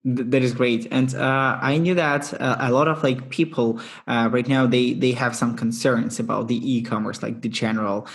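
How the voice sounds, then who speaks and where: A man hosts an interview podcast in English that is not his first language. His pitch is middling. He asks questions in a halting, conversational way with non-native phrasing. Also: steady tone. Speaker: podcast host.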